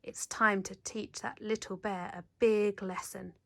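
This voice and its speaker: A woman reading a children's picture book aloud in an animated, dramatic storyteller's manner. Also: medium pitch, unhurried, gravelly, no echo. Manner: animated, dramatic, leans into sound words. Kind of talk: expressive read-aloud narration.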